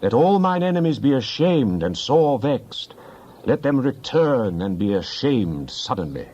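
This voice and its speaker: A man's voice: medium pitch, very animated, unhurried, a little mellow, muffled recording. A man playing a fervent psalmist in a dramatized scripture reading, pleading with God in solemn, impassioned, declamatory tones and archaic diction. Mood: anguished, pleading.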